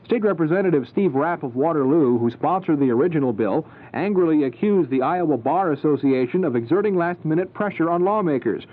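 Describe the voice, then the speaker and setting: Male radio news anchor, slightly high voice, scripted bulletin delivery, narrow old AM sound. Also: animated, brisk. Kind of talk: scripted news and weather reading. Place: radio studio. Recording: band-limited vintage 1970s AM radio recording.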